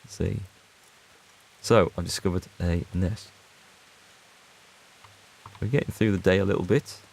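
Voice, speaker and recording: Male streamer, low and gravelly, casual running commentary, chats with his audience. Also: fairly even intonation, measured pace, darkish tone, slight background noise, poor audio. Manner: chatty, reads out and answers viewer chat. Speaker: gaming streamer. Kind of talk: casual let's play commentary.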